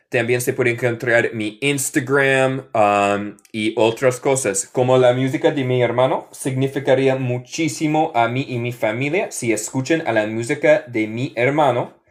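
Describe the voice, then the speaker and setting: An American man from Michigan, an English teacher, chatting casually on a livestream in halting Spanish with many fillers and switches into English. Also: mid-range voice, steady tone. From Michigan, USA.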